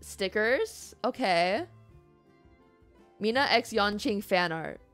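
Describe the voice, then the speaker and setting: A woman's voice: mid-range voice, expressive, slow pace, fairly bright tone. A woman livestreamer speaks in a mid-range voice. She chats casually with her audience, informal and playful, with the odd mild curse. Music plays in the background. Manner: informal, playful, mildly profane. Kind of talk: casual chat with live audience.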